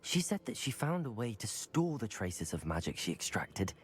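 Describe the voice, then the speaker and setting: A man's voice: fairly low pitch, very animated, moderate pace, slight background noise. A man playing an earnest, determined young wizard student in a fantasy game, polite and articulate. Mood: serious, informative. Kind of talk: dramatic fantasy video game acting.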